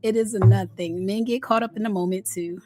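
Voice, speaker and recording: A woman hosting a casual celebrity gossip commentary, chatty and candid, with drawn-out emphasis and a slightly raspy voice worn from a recent illness. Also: medium pitch, fairly even intonation, a little mellow. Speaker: host of a celebrity gossip channel.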